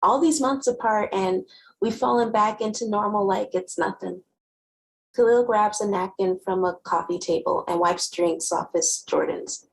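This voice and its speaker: A woman as a wry teen girl, slightly gravelly. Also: medium pitch, lively intonation, moderate pace, poor audio. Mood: playful. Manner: wry, conversational storytelling. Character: wry, guarded teenage girl narrator with sharp tongue. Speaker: actor. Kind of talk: first-person dramatic reading of a novel.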